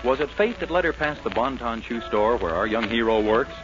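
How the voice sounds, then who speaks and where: A man as a lively, wry radio narrator. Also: medium pitch, brisk, close to an everyday voice. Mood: wry, mock-dramatic. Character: wry, mock-dramatic male storyteller. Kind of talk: scripted 1940s radio comedy narration. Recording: muffled 1940s radio broadcast, music behind.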